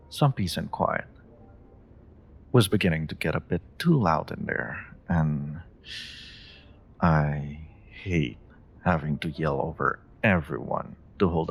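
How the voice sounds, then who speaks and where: A man playing a suave, teasing stranger who flirts in an intimate audio roleplay, pausing and hesitating playfully as he talks. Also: a bass voice, vocal fry, slightly rough, faint music behind, exaggerated voice. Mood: teasing, charming. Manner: teasing, hesitant pauses, frequent soft chuckles.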